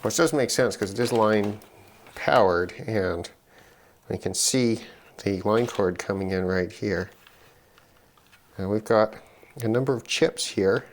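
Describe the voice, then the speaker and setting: A middle-aged man giving unscripted commentary on an electronics teardown, halting and musing as he thinks aloud. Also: lowish voice, slight background noise. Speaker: electronics hobbyist presenter.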